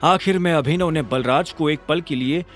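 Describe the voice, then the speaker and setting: A man's voice: a little high, moderate pace, soft background music. A man narrating a scripted audio drama story in a dramatic style.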